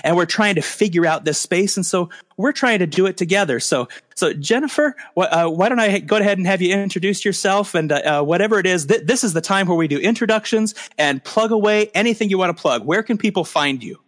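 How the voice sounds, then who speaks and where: A man's voice: high-pitched, a little fast, few pauses. Rambling self-deprecating male podcast host. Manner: rambling, self-deprecating, many fillers and restarts. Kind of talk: casual podcast chat.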